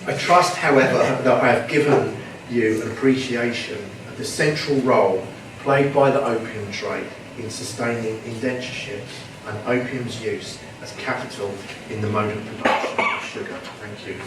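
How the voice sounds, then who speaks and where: A man giving an academic conference talk in a measured way, sometimes repeating words. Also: medium pitch. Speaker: conference presenter. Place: conference room. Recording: echoey hall with background noise, mic at a distance.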